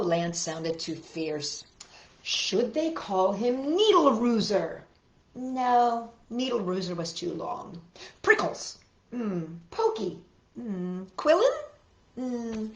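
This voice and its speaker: A woman hosting a children's storytime, reading picture books aloud with expressive, exaggerated delivery. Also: fairly high pitch. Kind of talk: animated picture-book read-aloud and sing-along for young children. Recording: roomy echo with guitar music.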